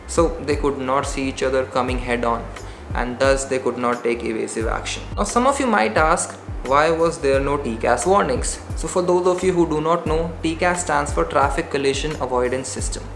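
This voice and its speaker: A man's voice: medium pitch, normal speed, creaky, fairly bright tone. A young man with an Indian English accent, earnestly explaining an aviation story to camera as a pilot. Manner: earnest, gestures a lot while explaining. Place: indoor room with shelves. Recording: roomy echo, faint music behind.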